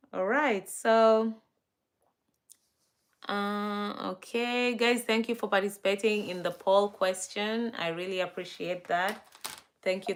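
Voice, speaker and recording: A young Kenyan woman hosts a relaxed live stream at an unhurried pace, her voice pitched in the middle. She chats with viewers, greets them by name and laughs often. Her talk is loose and full of fillers. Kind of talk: casual live-stream chat.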